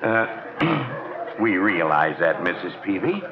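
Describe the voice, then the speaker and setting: A deep-voiced, tactful townsman from old radio comedy. He is hesitant and diplomatic, with awkward throat-clearing. The recording is dull and noisy. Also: slow. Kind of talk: 1940s radio comedy acting.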